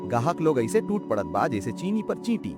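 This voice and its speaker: A man's voice: medium pitch, big pitch swings, normal speed, over loud music. A man playing an envious, scheming village shop owner in a cartoon, agitated and complaining, with a Bhojpuri accent. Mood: envious, bitter. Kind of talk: animated moral story dialogue.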